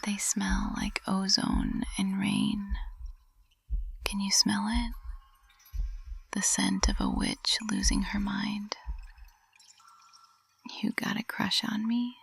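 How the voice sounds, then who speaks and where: Woman acting a slow, breathy whispering witch professor. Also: mid-range voice, lively intonation, dry recording, exaggerated voice. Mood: embarrassed, unraveled. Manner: slow, halting, tender, flustered. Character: cold, composed young witch professor unraveling with love. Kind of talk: intimate whispered ASMR roleplay.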